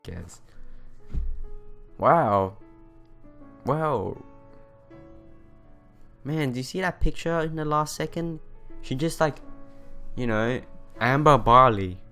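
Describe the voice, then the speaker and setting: A man's voice: medium pitch, lively intonation, takes it slowly, pauses often, bright, forceful tone. A young man playing a visual novel for viewers, reading aloud and commenting in an informal, earnest way. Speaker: let's player. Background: game music.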